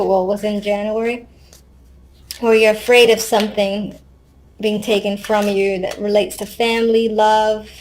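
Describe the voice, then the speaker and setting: Woman, slightly high voice, tarot card reader, casual and unscripted, conversational, thinking aloud, wry asides. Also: darkish tone, a little hiss or hum.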